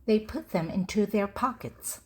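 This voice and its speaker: A woman reading a children's story aloud in short, deliberate phrases for language learners. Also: medium pitch, darkish tone, husky. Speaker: storybook narrator.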